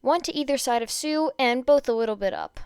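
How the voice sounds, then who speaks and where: Smooth, higher-pitched woman narrating a story. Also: animated, a little fast, darkish tone, no echo, studio quality. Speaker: fan fiction reader.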